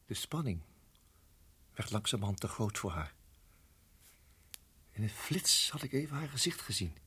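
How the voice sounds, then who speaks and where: A low, very husky husband in a radio play, caught in mortal danger. He speaks haltingly and urgently, often hushed, keeping himself tightly controlled. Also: heavily acted. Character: tense husband guiding wife through deadly snake danger. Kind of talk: radio drama acting and narration.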